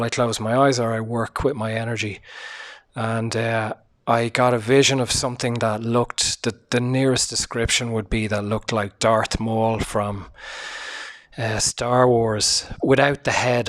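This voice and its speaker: A sick man hosting a casual solo podcast, speaking quietly with a hoarse, tired voice, swearing often and using many fillers. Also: medium pitch. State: sick, low energy, voice hoarse. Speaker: podcast host.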